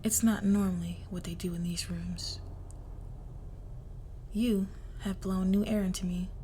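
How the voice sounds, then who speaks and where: A woman playing a crime boss's cold, menacing young daughter in an intimate audio roleplay, speaking in a hushed, deliberate near-whisper. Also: mid-range voice, steady tone, a little hiss or hum, lightly acted. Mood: pensive, intimate. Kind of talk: intimate scripted audio roleplay, dramatic monologue.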